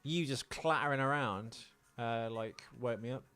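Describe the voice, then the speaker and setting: A man co-hosting a podcast in casual comic chat, rambling through anecdotes in a crude, jokey, halting way. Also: medium pitch, over music. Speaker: podcast co-host.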